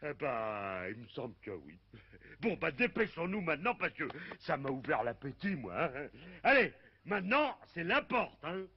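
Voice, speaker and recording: A man's voice: a little high, big pitch swings, normal speed. An actor playing a big, hungry, good-natured male Gaul warrior who talks with a chuckle, on a dull old cartoon soundtrack with hiss. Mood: jovial.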